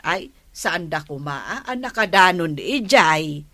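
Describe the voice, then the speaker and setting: A woman playing a slow-talking village gossip. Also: on the low side, expressive, fairly bright tone. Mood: chatty. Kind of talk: radio comedy drama acting.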